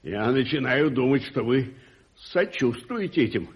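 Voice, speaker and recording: An actor playing an imperious, hot-tempered wealthy English lord in a radio play, blustering and emphatic. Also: male voice, mid-range voice, animated, measured pace, slightly rough. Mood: indignant. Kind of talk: 1980s Soviet radio play acting.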